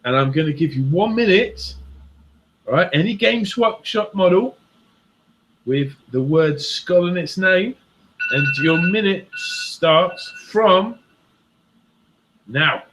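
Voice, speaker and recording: A man's voice: sits high, expressive, slow, long pauses, a bit bright, slight background noise, low-quality recording. A man from England chatting casually as a show co-host, his Midlands accent mixed with northern and southern traces. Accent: English Midlands with northern and southern traces. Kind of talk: casual chat.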